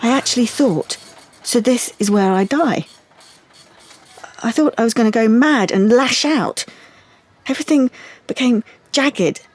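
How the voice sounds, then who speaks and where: An actress playing an anxious, wounded young woman in an audio drama, confiding haltingly and stumbling over her words. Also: on the high side, animated, slight background noise. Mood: shaken, recounting. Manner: halting, confiding, with stammered restarts. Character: anxious, wounded young woman artist, defensive about privacy. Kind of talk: audio drama monologue and dialogue.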